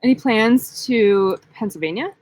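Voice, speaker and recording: A woman's voice: a little high, animated, a little slow, darkish tone. A young woman hosting a casual live-stream Q&A, chatty and giggly, full of likes. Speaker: vlog host.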